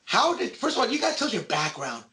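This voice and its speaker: A man's voice: high voice, huge pitch swings, fast talker, background noise. A man co-hosting a sports podcast in casual, slangy, emphatic talk that repeats phrases.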